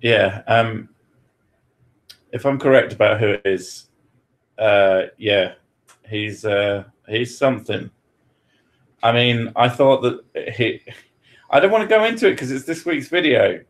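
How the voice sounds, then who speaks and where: A man's voice: medium pitch, measured pace, pauses often. A man from Britain chatting casually as host, joking, swearing freely and laughing often. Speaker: podcast host answering questions. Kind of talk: casual chat.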